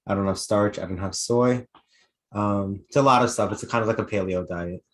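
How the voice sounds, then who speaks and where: A man's voice: fairly low pitch, brisk. An eighteen-year-old young man from New Jersey introducing himself slightly nervously as a game player. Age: teens.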